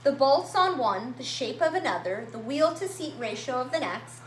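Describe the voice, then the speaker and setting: High, animated woman reading a children's story. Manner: animated, dramatic emphasis with drawn-out words and simple asides. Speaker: children's story-time reader at a library. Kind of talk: expressive read-aloud of a picture book for young children. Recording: roomy echo, faint background noise.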